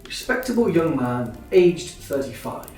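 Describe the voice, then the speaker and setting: An actor playing a kindly, hopeful Victorian gentleman and brother in his thirties, with formal, earnest phrasing in a literary drama. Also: on the high side, very animated, measured pace. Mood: teasing. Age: 30s. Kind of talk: stage-style literary radio drama acting. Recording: very echoey, distant, music behind.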